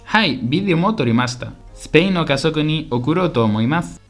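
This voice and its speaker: Guy acting as a polite spanish tourist in a learner radio drama, keen, simple sentences, soft music under it. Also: fairly high pitch, animated, brisk. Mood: polite, friendly. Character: polite, eager Spanish tourist visiting Osaka. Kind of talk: scripted language-lesson radio drama.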